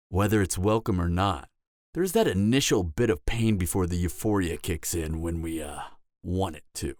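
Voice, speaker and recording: A man's voice: lowish voice, no room sound, studio quality. A man playing a cocky, flirtatious and dangerous vampire in an audio roleplay, teasing the listener with a smug, seductive delivery. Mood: playful, smug. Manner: teasing, smug, seductive drawl with dramatic pauses. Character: cocky, flirtatious, dangerous adult male vampire, former killer.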